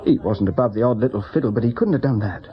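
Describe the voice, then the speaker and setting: An actor playing a loyal, guarded friend who distrusts the police, in a radio drama, speaking firmly. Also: male voice, medium pitch, fast talker, natural voice. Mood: insistent, loyal. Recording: dull sound over chattering background crowd.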